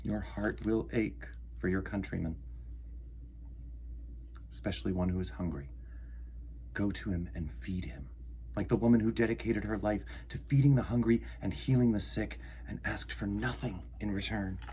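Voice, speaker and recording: A man hosting a storytime, presenting and reading a children's picture book aloud in measured phrases. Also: fairly low pitch, warm tone, slight background noise.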